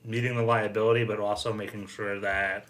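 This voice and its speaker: A man with a fairly low but bright, forceful voice hosts a podcast. He talks casually, in the conversational style of a round-table discussion. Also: flat delivery, brisk. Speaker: podcast host.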